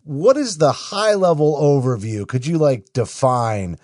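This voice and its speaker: A man's voice: a little high, expressive, a little slow, a little mellow, no echo, studio quality. A man from Maine hosting a podcast interview, asking questions in a friendly, conversational way. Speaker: podcast host.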